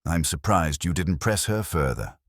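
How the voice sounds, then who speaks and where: A direct, wry investigator's right-hand man from an audiobook mystery, acted by a man. He talks colloquially and probes with lively inflection in a very low, husky voice. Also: moderate pace, no room sound, studio quality. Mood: probing. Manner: colloquial, probing. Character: wry, direct male detective's assistant.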